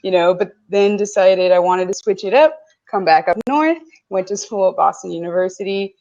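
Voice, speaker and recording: A woman's voice: mid-range voice, moderate pace, muffled recording. Young woman breaking down football. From Canada. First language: French. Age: young adult. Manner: analytical, lively football talk. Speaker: guest sports analyst. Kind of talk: casual interview.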